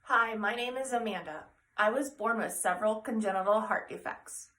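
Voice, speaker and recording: A woman's voice: fairly high pitch, moderate pace, slight background noise, low-quality recording. A woman steadily stating facts about herself in a personal testimonial. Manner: steady, listing plainly. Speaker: adult with congenital heart disease.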